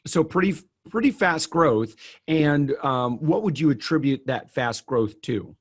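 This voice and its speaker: A man's voice: a little high, animated, measured pace, slightly rough. A man hosting a casual interview, asking questions in a chatty, easygoing way. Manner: chatty, laughs easily. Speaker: podcast host.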